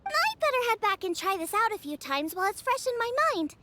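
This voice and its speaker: Very high perky girl chef. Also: very animated, brisk, sharp tone, a little hiss or hum, heavily acted. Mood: upbeat, eager. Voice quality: youthful, girlish. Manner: perky, eager. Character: spirited, friendly teenage girl chef. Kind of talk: anime video game voice acting.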